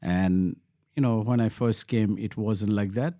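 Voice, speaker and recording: A man from Bangladesh speaks as an interview guest, his voice warm and relaxed in tone. He talks haltingly, repeating words and filling pauses, and the sound is dry and close. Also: on the low side, no room sound. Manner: halting, many restarts and fillers. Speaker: local councillor, guest. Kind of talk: interview answers.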